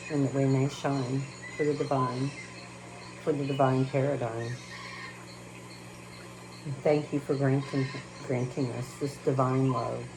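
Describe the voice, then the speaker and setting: A woman with a Texas accent speaking slowly and haltingly, with many fillers, first chatting about her channel and then reciting a prayer. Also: very deep voice. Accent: Texan. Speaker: spiritual channel host. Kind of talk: casual spiritual talk and spoken prayer. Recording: noticeable background noise.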